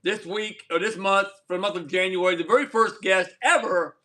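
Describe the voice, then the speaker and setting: High bright-voiced man hosting a livestream. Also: a little fast. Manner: rambling, repeats words, laughs often. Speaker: livestream host. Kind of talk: casual livestream chat.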